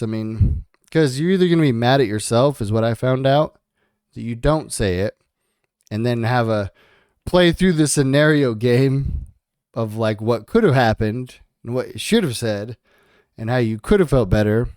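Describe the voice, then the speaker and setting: A man's voice: medium pitch, darkish tone, clear, resonant tone, no echo, clean studio sound. A man co-hosting a podcast in a laid-back, casual way, swearing freely. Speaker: podcast co-host.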